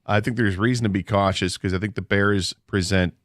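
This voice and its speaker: A man co-hosting a sports talk radio show, arguing his points in a measured way. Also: lowish voice, steady tone, no room sound, studio quality. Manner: measured, argumentative. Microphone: studio microphone.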